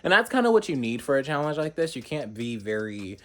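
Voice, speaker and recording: A man's voice: medium pitch, sing-song, brisk. Blunt, emphatic man in a confessional. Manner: blunt and emphatic, casual swearing. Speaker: drag competitor. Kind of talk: confessional interview.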